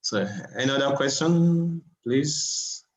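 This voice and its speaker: A man's voice: mid-range voice, rough recording. Polite man hosting a Q&A. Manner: effusive, polite, with frequent "uh" pauses. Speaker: host moderating questions. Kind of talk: moderating a Q&A session.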